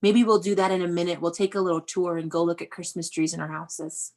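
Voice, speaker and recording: A woman teaching young children through a read-aloud, explaining in an animated way and often putting questions to them. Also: on the low side, fast. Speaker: elementary school teacher.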